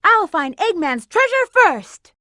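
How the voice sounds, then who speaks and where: A woman's voice: very high-pitched, big pitch swings, slow, pushed and bright, dry recording, studio quality, heavily acted. An actress voicing a sassy, confident female bat treasure hunter in a video game. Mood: bossy.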